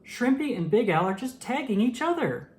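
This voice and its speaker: A storyteller voices an everyday fish from a picture book. It complains and frets in a raised, protesting voice that swings in pitch. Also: sing-song, normal speed, darkish tone, close to an everyday voice. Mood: indignant. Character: ordinary reef fish, complaining and fearful.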